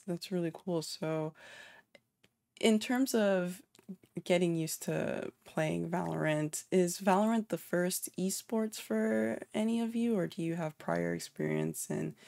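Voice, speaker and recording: A woman from North America hosting an online interview, asking friendly, measured questions with a few fillers. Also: lowish voice, animated, gravelly, darkish tone, no room sound.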